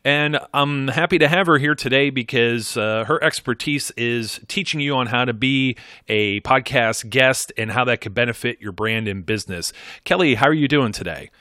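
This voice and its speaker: A man in his thirties hosts a podcast, talking loosely and circling back on himself. His voice is bright and forward, and sits at an ordinary pitch. The recording is dry and close. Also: moderate pace, dry recording. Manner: rambling, many fillers and restarts. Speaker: podcast host. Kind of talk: conversational interview.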